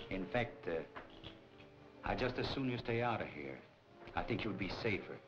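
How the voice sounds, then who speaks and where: A middle-aged actor playing a smooth, evasive nightclub boss with deliberate, menacing phrasing in a 1940s crime film. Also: male voice, on the low side, lively intonation. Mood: veiled menace. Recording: dull 1940s film soundtrack, loud music behind.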